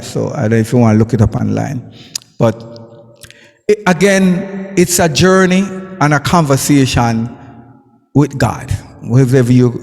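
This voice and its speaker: A man's voice: medium pitch, vocal fry, a little mellow. A man with a Jamaican accent performing his poetry at a live event, chanting in rhythm and sometimes breaking into song. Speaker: poet and recording artist. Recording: reverberant live sound with music behind.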